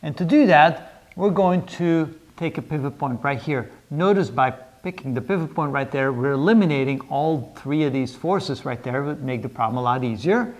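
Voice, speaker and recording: A man's voice: on the high side, moderate pace. A middle-aged man lecturing at a whiteboard, explaining a worked problem aloud in a methodical, step-by-step manner. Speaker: engineering lecturer. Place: indoors, in front of a whiteboard.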